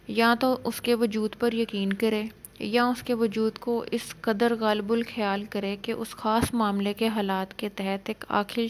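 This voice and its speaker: A woman lecturer explaining law for exam students, reading out legal definitions slowly and then explaining them in plain terms. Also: on the high side.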